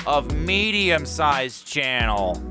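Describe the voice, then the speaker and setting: A man with a high voice speaks straight to viewers as the show's editor. His delivery is emphatic, wry and self-mocking. Music runs clearly behind him. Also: very animated, slow pace. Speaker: video editor and show host. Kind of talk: direct appeal to viewers, voice-over.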